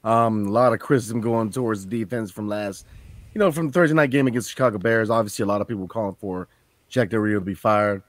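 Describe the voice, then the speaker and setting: A man's voice: lowish voice, fast, dry recording. A man co-hosting a casual sports chat, talking in a loose, conversational way with filler phrases. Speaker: podcast co-host.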